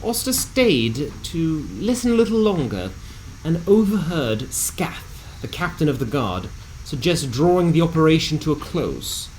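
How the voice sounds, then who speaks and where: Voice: mid-range voice, very animated, a little slow, few pauses, darkish tone, slight background noise, low-quality recording. A narrator reading a scripted recap of a tabletop fantasy game in a theatrical storytelling manner with wry asides. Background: music.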